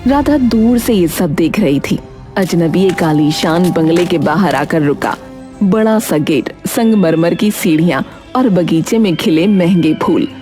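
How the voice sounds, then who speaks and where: A woman's voice: on the low side, animated, a little slow, mellow, faint music behind. A woman narrating a scripted children's story in a dramatic storytelling style.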